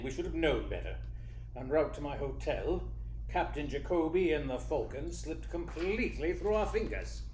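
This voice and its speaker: A man's voice: fairly high pitch, dark tone. A man playing a genial, scheming crime boss in a radio play, with formal, theatrical phrasing. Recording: echoey room with faint noise.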